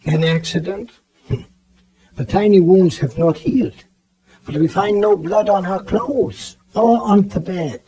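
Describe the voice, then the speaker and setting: Grave professor, higher-voiced, mellow. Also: male voice, measured pace, low-quality recording. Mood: measured, musing. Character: authoritative foreign professor-physician, grave and decisive. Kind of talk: radio drama acting.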